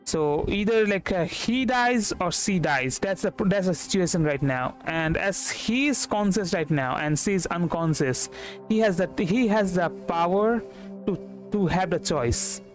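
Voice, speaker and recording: High-voiced man streaming a game. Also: expressive, faint music behind. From India. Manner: repetitive, halting reasoning aloud. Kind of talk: casual game commentary.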